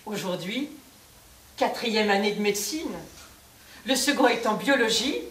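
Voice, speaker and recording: A woman performer giving a measured theatrical recitation of testimonies on a stage. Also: mid-range voice, lively intonation, a bit bright. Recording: echoey stage, distant mic, faint noise.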